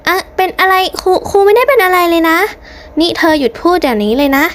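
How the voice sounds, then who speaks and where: A woman's voice: very high voice, expressive, fast. A woman voicing an anxious, bossy female schoolteacher in a cartoon story, emphatic and insistent. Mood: flustered. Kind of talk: hobby cartoon story dubbing.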